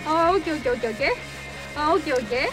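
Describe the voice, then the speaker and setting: High-pitched, fast-talking playful woman. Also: rough recording. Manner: playful, repeats words and phrases. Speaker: radio show host. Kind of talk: lively casual banter during a card game. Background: loud background music.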